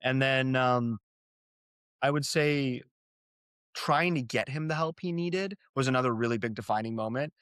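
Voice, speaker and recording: A man's voice: mid-range voice, moderate pace, fairly bright tone, clean tone, no echo, clean studio sound. A young man from Arizona, a native Gujarati speaker, speaking as an interview guest, earnest and reflective, often repeating words as he thinks. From Arizona, USA. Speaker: company co-founder, guest. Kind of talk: interview, personal storytelling.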